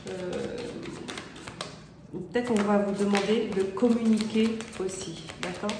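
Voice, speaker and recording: A woman reading tarot cards aloud while she has a cold, her nose stuffy. Her voice is middle-pitched and she talks in a hesitant, rambling way, often checking in with her listener. The room echoes, with faint noise behind her. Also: takes it slowly. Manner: hesitant, many fillers and rephrasings. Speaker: tarot card reader. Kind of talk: casual spoken tarot reading.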